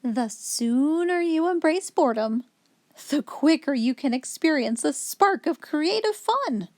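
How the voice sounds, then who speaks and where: A woman reading a children's picture book aloud expressively, in a clear, instructive storytelling manner for young children. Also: sits high, expressive, a little slow, darkish tone, no echo, clean studio sound. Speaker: children's story reader, host.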